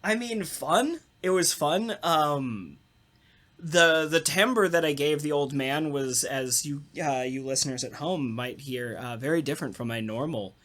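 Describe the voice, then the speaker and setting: A man's voice: fairly high pitch, unhurried, fairly bright tone. A man who works as a voice actor, chatting casually on a podcast panel in a jokey, rambling, self-deprecating way. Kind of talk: casual chat about radio plays.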